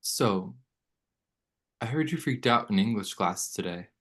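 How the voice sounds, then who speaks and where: A man's voice: on the low side, lively intonation, a little slow, fairly bright tone. A man playing a laid-back, blunt but loyal teenage boy in a table read of a horror script. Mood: blunt, casual.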